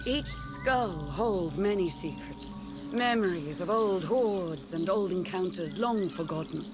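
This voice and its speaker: An actress voicing a solemn mystic of a soul order who speaks slow and measured, with music behind. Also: female voice, lowish voice, expressive. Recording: game cutscene with music behind.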